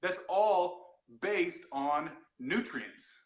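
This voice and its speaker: A man's voice: high-pitched, expressive, echoey room. A man, a doctor, explaining health topics in a plain teaching tone from a home room on a video call. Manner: plain teaching tone, quick laughs. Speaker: doctor, guest expert. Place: home room with cushions for sound deadening.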